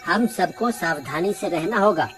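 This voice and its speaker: A man's voice: sits high, lively intonation, unhurried, a bit bright, soft background music. A man playing a worried, exasperated villager in a cartoon story, complaining in a dramatic, fretful way. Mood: alarmed.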